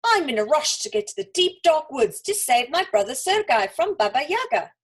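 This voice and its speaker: A woman's voice: high voice, sing-song, moderate pace, pitched above the performer's own voice. A woman voicing a brave, determined young girl in a hurry, exclaiming in a children's story. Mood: hurried, annoyed.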